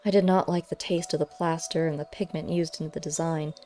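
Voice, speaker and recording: A woman reading a first-person story aloud as an audiobook narrator, measured and expressive. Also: low voice. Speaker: audiobook reader. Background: faint music.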